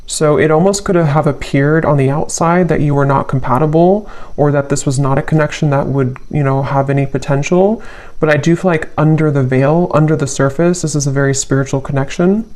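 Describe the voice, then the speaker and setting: Tarot reader, medium-pitched voice, casual intuitive delivery, halting, repeated phrases, thinking aloud. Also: steady tone, darkish tone.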